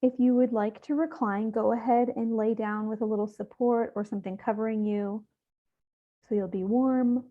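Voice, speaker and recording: A woman leading a guided meditation, speaking gently and without hurry, with soothing instructions and pauses. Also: fairly high pitch, steady tone. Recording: thin, phone-like narrow-band audio.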